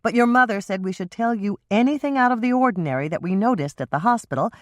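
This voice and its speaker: A woman's voice: mid-range voice, expressive, fast talker, no room sound, voice pushed up, close to an everyday voice. A woman reading an audiobook and voicing a confiding woman who talks hesitantly and earnestly.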